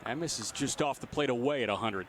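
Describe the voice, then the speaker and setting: A man's voice: mid-range voice, a little fast, a bit bright, slight background noise. A man doing live radio play-by-play commentary of a baseball game. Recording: outdoor stadium ambience behind voice. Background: ballpark ambience.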